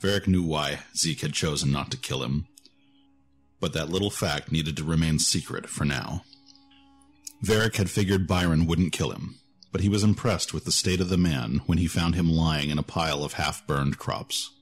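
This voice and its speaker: A man's voice: rumbling low, normal speed. A man narrating a scripted fantasy story as the narrator of an audio drama. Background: music.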